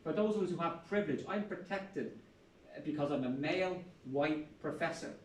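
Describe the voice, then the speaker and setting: An older Irish man gives a passionate, blunt university talk with bits of salty humor. His voice sits on the high side. He is picked up from a distance in a reverberant room. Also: normal speed, a little hiss or hum. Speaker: professor and panel speaker. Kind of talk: public talk at a university event. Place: lecture room. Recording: echoey room, speaker far from mic.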